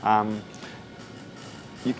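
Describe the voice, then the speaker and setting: A man in his twenties with a New Zealand accent, giving a casual, soft-spoken talk to a meetup audience, with many fillers. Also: very slow, soft background music, poor audio. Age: 20s. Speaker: speaker at a meetup. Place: coworking space event room.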